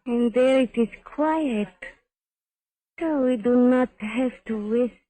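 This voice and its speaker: Sultry nightclub femme fatale, high pitched, careful stilted english like a foreigner, 50s radio drama. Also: female voice, rough recording. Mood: seductive. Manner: measured, stilted foreign-sounding English without contractions. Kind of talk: 1950s radio drama acting.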